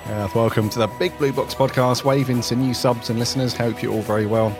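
A man's voice: mid-range voice, steady tone, fairly quick, over music. A man co-hosting a podcast in casual chat, joking, chuckling and rambling loosely. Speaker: podcast co-host.

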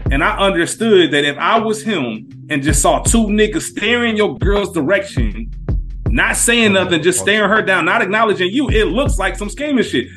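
A man co-hosting a podcast, telling a story in casual slang with lively energy. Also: high voice. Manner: animated anecdote-telling with street slang. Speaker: podcast co-host.

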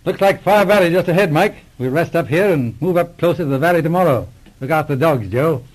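An actor playing a brisk, commanding Mountie sergeant with a husky voice in a 1940s radio adventure. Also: male voice, a little high, animated, poor audio. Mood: intrigued. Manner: decisive, exclamatory. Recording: old 1940s radio recording.

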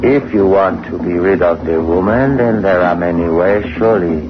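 An actor playing a calm, cunning supernatural fixer in a radio horror play, speaking in formal, ominous phrases. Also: male voice, low voice, normal speed, background noise, close to an everyday voice. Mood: sly, knowing. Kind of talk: 1960s radio horror acting. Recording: muffled old radio recording, loud music.

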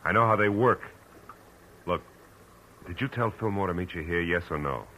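A man's voice: deep voice, normal speed, pushed and bright. An American man playing a hard-boiled Los Angeles private detective in a radio drama, with clipped, commanding, world-weary speech. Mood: blunt, urgent. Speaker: lead actor and first-person narrator. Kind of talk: 1940s radio detective acting and narration. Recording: noisy old-time radio recording.

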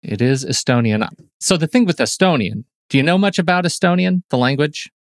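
A man co-hosting a podcast in casual chat, talkative and quick to laugh at his own slips. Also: a little high, animated, dry recording, clean studio sound. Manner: chatty, self-correcting, laughs easily. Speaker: podcast co-host.

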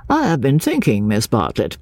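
Casting: woman narrator as puzzled, deliberate clergyman for classic audiobook, halting delivery, husky edge, recorded close and dry. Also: rumbling low, wildly swooping pitch, darkish tone, dry recording, heavily acted. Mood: earnest, hesitant. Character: earnest, puzzled gentleman clergyman, courteous and tentative.

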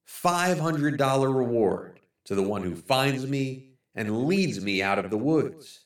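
A man voicing a lost, starving man who pleads in a soft, hushed, higher voice. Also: expressive, slow, roomy sound, recorded from a distance. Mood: desperate.

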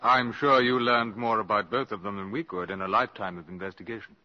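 An actor playing a brisk, businesslike counter-espionage officer who questions and reports, in 1950s radio drama style. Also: male voice, fairly low pitch, fairly even intonation, sharp tone. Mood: probing. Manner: probing, businesslike. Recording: dull 1950s radio broadcast.

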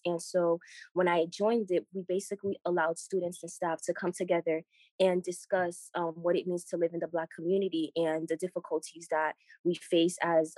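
Earnest young woman with a lower voice. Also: darkish tone, dry recording. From born in Haiti, grew up in Boston. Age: late teens, young adult. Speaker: scholarship recipient, podcast guest. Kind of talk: personal account in a casual interview.

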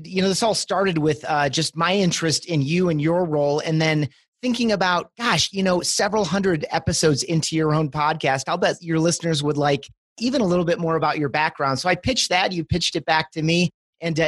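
A man's voice: fairly high pitch, breathy edge, no room sound. Male podcast interviewer, encouraging and articulate, dry close-miked recording. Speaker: guest podcast host, interviewer.

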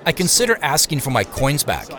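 A man's voice: fairly high pitch, very animated, fairly quick, crowd noise. An actor playing an uneasy man in disguise who narrates his own story in the present tense for an audio drama. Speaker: narrator of the story in first person.

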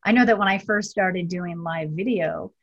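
A woman's voice: fairly low pitch, normal speed. Reflective woman on a dull call line. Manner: reflective, many fillers like "you know". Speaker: podcast guest, entrepreneur. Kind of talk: casual conversation.

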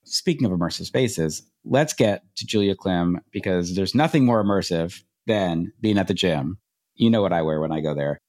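A man co-hosting a podcast, chatting casually and giving animated opinions. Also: sits low, normal speed. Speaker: podcast co-host. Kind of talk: podcast chat and interview.

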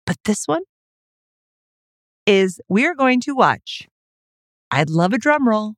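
A woman's voice: mid-range voice, sing-song, slow pace, no echo, clean studio sound. A woman co-hosting a casual chat podcast, playful and self-mocking, laughing easily as she talks. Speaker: podcast co-host.

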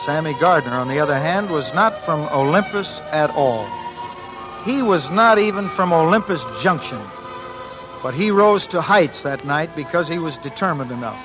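A man acts an earnest young American musician in a 1940s radio play. His voice is high and unsure of itself, carried on an old broadcast with music. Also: natural voice, low-quality recording. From United States. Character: earnest, insecure young male musician telling his own story. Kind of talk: 1940s radio acting and narration.

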